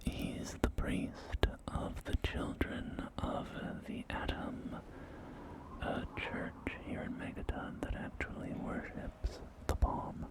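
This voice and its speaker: A man playing a folksy post-apocalyptic real estate agent in a whispered ASMR roleplay, with gentle, hesitant, reassuring sales patter. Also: takes it slowly, close to an everyday voice, low-quality recording. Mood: calmly reassuring. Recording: close whisper over soft music.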